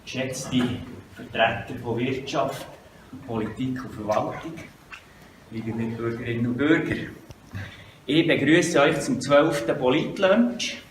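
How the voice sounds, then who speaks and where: A man's voice: mid-range voice, measured pace, long pauses, a little hiss or hum. Swiss German man hosting, echoey room. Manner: informal, chatty announcements. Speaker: event host. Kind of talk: welcome speech. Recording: echoey, distant room sound.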